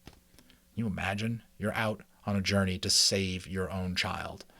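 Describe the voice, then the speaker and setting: A man narrating a children's novel as a dramatic, expressive audiobook reading. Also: deep voice, unhurried, creaky, dry recording. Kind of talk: dramatic children's book reading with chatty asides.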